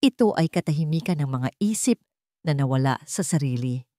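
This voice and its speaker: A woman with a fairly low voice narrates a first-person drama for an audiobook. Her delivery is solemn and emotive, recorded dry with hardly any room sound. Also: animated, a little fast, mellow, no room sound.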